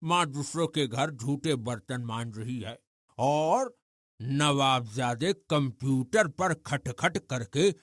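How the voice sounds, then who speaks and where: A man's voice: mid-range voice, slow pace, fairly bright tone, no echo, studio quality. An actor playing a mocking neighbourhood man in a cartoon story, sarcastic and taunting. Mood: sneering mockery.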